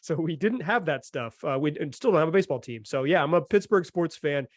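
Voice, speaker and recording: A man's voice: fairly high pitch, lively intonation, brisk, no echo, studio quality. An American man hosting a basketball podcast in a conversational style. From North Carolina, United States. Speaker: sports podcast host.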